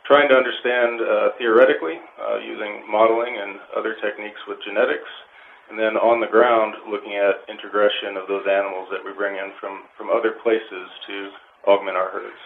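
A man being interviewed as a wildlife manager, giving measured, technical explanations. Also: on the low side, little pitch movement, vocal fry. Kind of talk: informative interview answers. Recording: phone-like line with faint noise.

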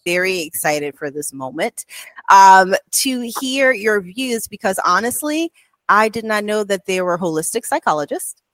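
A woman's voice: medium pitch, expressive, unhurried, a bit bright, no room sound. A woman hosting a podcast interview, bubbly and chatty, saying "like" a lot. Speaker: podcast host.

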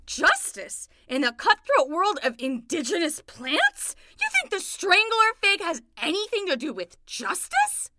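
A woman's voice: very high-pitched, wildly swooping pitch, a little slow, hardly any pauses, sharp tone, slight background noise, exaggerated voice. A woman playing a pompous, skeptical young graduate student with a precise, condescending academic manner in a fiction podcast. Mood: mocking, condescending. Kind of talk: playful fiction podcast acting.

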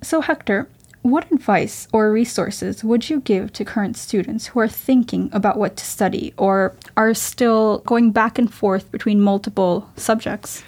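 A woman's voice: medium pitch, unhurried, a little mellow. A young woman hosting a university podcast, friendly and upbeat as she interviews guests. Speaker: podcast host.

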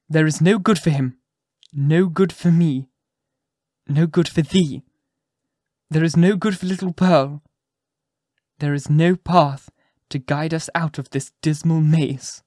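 A man's voice: medium pitch, monotone, moderate pace, hardly any pauses, a little mellow, husky, dry recording, clean studio sound, close to an everyday voice. A man voicing an anguished but resolute woman who pleads with dignity in impassioned, archaic storybook speech. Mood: firm despair. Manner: archaic, impassioned, emphatic delivery.

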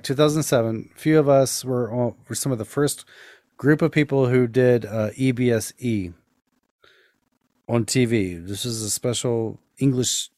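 A man's voice: medium pitch, darkish tone, no echo. A man with a mid-range voice tells tales as a podcast host. His delivery is casual and conversational, recorded close with very little room sound. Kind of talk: spooky storytelling and casual anecdotes.

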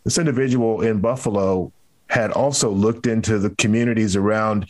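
A man with a fairly low voice hosts a serious interview. He talks in a measured, deliberate way, setting out his commentary phrase by phrase. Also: steady tone, poor audio. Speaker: podcast host.

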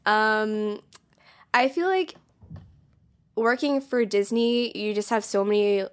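A woman's voice: mid-range voice, slow pace, clean tone. A young woman from California, co-hosting a college podcast with bubbly enthusiasm and lots of casual "like"s. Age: young adult. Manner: bubbly, enthusiastic, frequent "like" and laughs. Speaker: podcast co-host.

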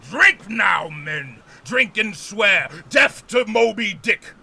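An actor playing a vengeful old one-legged whaling captain, grandiose and oratorical, in a theatrical audio drama. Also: male voice, high voice, lively intonation, slow, gravelly, pushed and bright, breathy edge, exaggerated voice. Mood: fierce, rousing. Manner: grandiose, oratorical, brooding to thundering.

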